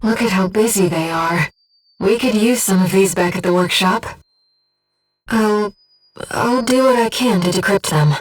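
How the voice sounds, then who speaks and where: A woman playing a gentle, disembodied female mission operator in a video game, earnest and composed, with clearly enunciated tactical callouts. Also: medium pitch, unhurried, distant mic, soft background music, natural voice, rough recording. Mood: soft, earnest. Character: gentle disembodied female voice guiding a mercenary pilot.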